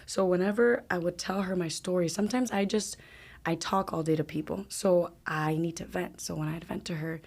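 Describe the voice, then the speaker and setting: A woman's voice: fairly low pitch, fairly even intonation, normal speed, darkish tone. Outspoken young woman with a Miami accent. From Ecuador. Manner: candid and emphatic, mixes in Spanish phrases, swears casually. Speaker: podcast guest. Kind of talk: casual conversation.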